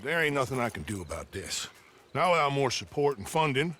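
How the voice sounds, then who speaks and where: Casting: reluctant American lawman, mid-range voice, apologetic and animated, Old West game cutscene. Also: medium pitch, a little fast, a little hiss or hum. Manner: apologetic, folksy, defensive. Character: reluctant, put-upon small-town Old West sheriff. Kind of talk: dramatic game cutscene acting.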